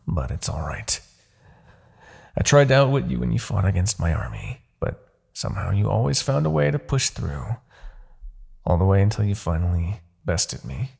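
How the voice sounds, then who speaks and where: A demon king in a fantasy roleplay audio, immortal, smug and coldly persuasive. The voice is very husky and thick with gravel, and it swings expressively up and down. He lingers on words with theatrical, condescending menace. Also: on the low side, a little mellow, exaggerated voice. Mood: exasperated, taunting. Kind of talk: fantasy ASMR roleplay acting.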